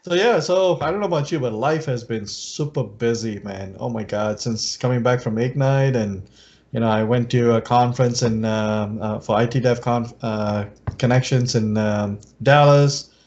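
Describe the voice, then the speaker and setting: A man co-hosting a podcast from home, chatting in a relaxed way and laughing often over a video call. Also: medium pitch, normal speed. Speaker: podcast co-host. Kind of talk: casual chat. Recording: dull lo-fi call audio.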